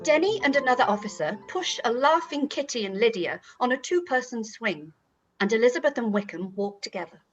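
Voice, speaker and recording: A woman's voice: fairly high pitch, lively intonation, brisk, lo-fi sound, soft background music. A woman reading scripted stage directions as the narrator of a period radio play.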